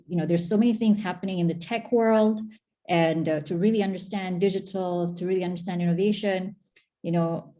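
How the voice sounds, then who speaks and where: A woman's voice: lowish voice, mellow. A middle-aged Malaysian woman answering interview questions in a chatty, talkative way, with frequent restarts and fillers. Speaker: guest, former tech agency chief.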